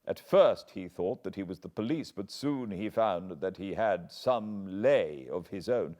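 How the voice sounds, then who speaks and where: A man's voice: sits low, animated, a little slow, warm tone, voice pushed up. An English man voicing an anxious, earnest older butler who pleads and frets. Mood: confiding. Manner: agitated, pleading.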